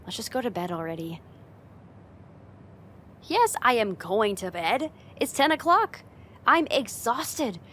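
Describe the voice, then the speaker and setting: A woman's voice: a little high, fairly bright tone, slight background noise. A woman playing a friend's teasing older sister in a casual, conversational ASMR roleplay spoken straight to the listener. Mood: flustered, bossy.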